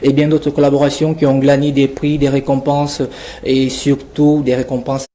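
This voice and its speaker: A man's voice: mid-range voice, level tone, normal speed, dark tone. A man with a Cameroonian accent reading a scripted portrait of a guest in a theatrical, declamatory manner. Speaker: radio columnist presenting a guest portrait.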